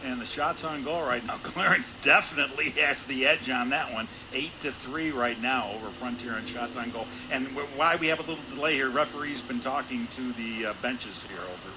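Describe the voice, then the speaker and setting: A man's voice: mid-range voice, moderate pace, hardly any pauses. A man giving loose, conversational color commentary on a hockey game. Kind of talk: conversational sports analysis. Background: noisy indoor ice rink.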